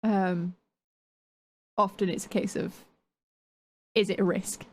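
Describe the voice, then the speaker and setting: Brief: woman co-host, slow and deliberate, mid pitch. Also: steady tone, darkish tone. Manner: slow and measured. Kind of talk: conversational chat.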